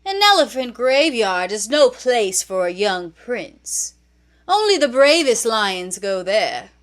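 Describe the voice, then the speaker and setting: A woman's voice: fairly high pitch, sing-song, slow pace. A woman voicing a sly, scheming male lion uncle, the villain of a storybook.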